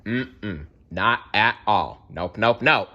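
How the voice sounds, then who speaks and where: A man narrating a children's fairytale aloud, expressive and speaking directly to young listeners. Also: fairly low pitch, slow pace, highs cut off. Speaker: storyteller.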